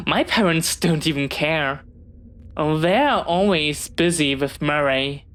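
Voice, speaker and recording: A woman's voice: low-pitched, drawn-out words. A woman playing an eager, friendly, bookish young pirate in an audio roleplay, chatty and well-spoken with a slight hesitance. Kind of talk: one-sided audio roleplay acting.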